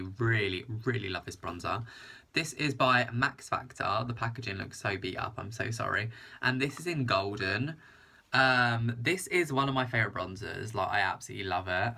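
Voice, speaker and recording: British guy in his 20s, kinda stuffy nose, lowish bright voice, chatty makeup vlog. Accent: British English. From England. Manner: gushing, enthusiastic, repeats "very, very". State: blocked-up nose from hay fever. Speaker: beauty vlogger. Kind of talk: casual makeup review vlog. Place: small indoor room with a printed backdrop.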